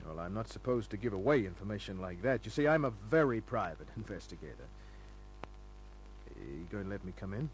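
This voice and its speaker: An actor playing a hard-boiled private detective, laconic, breezy and sardonic, in the manner of old-time radio drama. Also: male voice, on the low side, sing-song, a little fast. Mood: cool, wry. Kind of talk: old-time radio acting and first-person narration. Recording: noisy humming old radio recording.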